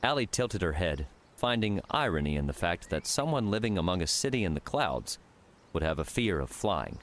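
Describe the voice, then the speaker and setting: A man's voice: low-pitched, big pitch swings, moderate pace. A man reading scripted fiction narration as an audiobook narrator. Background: music.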